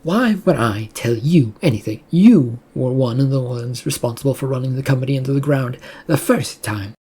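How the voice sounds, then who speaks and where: A reader acting out an imperious woman executive in a story, evasive and threatening. The voice is mellow and a little husky, with formal, curt commands. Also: mid-range voice, moderate pace, close to an everyday voice. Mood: cold, defensive. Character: imperious, angry female CEO, evasive and threatening.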